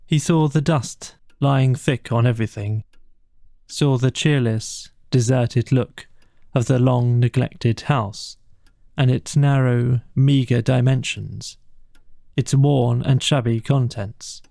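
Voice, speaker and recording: A man's voice: mid-range voice, fairly even intonation, slow pace, creaky, mellow, dry recording, studio quality. A man narrating a bedtime story from a script as an audiobook reader.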